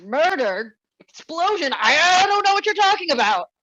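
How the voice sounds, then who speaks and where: A very high, bright voice from a woman plays a flustered man of sixty-five in a cartoon comedy. He protests indignantly, with pitch leaping about. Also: sing-song, moderate pace, lo-fi sound, full character voice. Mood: flustered denial. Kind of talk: animated comedy script table read.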